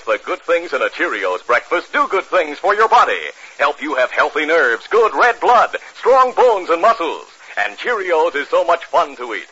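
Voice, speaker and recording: A man's voice: high-pitched, bright, forceful tone, slightly rough. An American man as a 1950s radio announcer, reading scripted narration with dramatic, emphatic delivery. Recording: muffled, noisy old 1950s radio recording.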